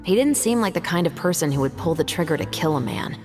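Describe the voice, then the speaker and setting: A woman playing a composed, serious investigator and patrol captain in a video game, speaking in a measured, articulate way. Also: lowish voice, expressive, over music, close to an everyday voice. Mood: measured, thoughtful.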